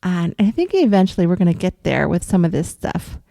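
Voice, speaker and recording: Dark-voiced woman with a cold. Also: fairly low pitch, sing-song, fairly quick, gravelly, no room sound, clean studio sound. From upstate New York. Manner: rambling, thinks aloud with ums and soft laughs. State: has a cold. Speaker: vlogger recounting astral travel. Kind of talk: casual storytelling to viewers.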